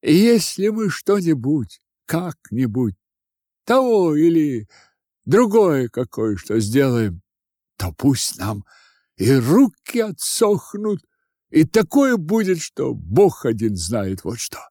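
A cheeky young seminary student acted by a man in a storybook tale. His voice is pitched high and swings about as he pleads and wheedles for favours. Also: big pitch swings, slow pace, warm tone, dry recording, clean studio sound, heavily acted. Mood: pleading. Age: young adult.

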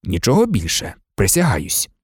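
A quiet, grave man of firm resolve, as a woman narrator plays him. The delivery is low, soft and measured, in a dry audiobook recording. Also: wildly swooping pitch, slightly rough, dry recording, exaggerated voice. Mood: calm.

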